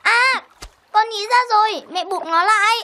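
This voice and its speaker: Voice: very high-pitched, normal speed, slight background noise, exaggerated voice. A performer voicing a small, eager, innocent young bird in a Vietnamese cartoon, talking in an earnest childlike way. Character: small young male waterbird, eager, innocent, longing for a father. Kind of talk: Vietnamese animated film dialogue. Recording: loud music under the voice. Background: loud music.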